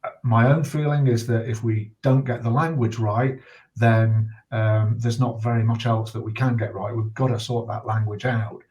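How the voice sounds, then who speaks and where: A middle-aged or older British man speaking reflectively in conversation as a guest, earnest and measured, with hesitant pauses. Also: medium pitch, steady tone, a little mellow, muffled recording. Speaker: interview guest, bereaved father and campaigner.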